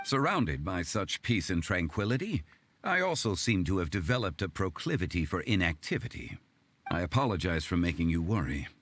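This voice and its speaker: A man playing a calm, middle-aged, scholarly gentleman in a video game, formal and measured with dry humor. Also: sits low, full character voice. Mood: calm, wry. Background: faint music.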